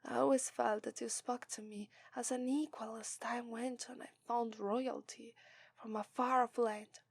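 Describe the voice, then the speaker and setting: A woman's voice: a little high, steady tone, measured pace, gravelly, slightly rough, no room sound, full character voice. Woman voicing a giggly Italian-accented demon princess bride. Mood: tender whisper. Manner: giggly, flustered, affectionate. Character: affectionate young demon princess bride, cheerful. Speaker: voice actress. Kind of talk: ASMR roleplay.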